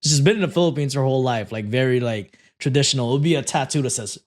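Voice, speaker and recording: A man's voice: mid-range voice, quick pace. A man chatting casually as a podcast guest, laughing and swearing freely. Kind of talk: casual chat.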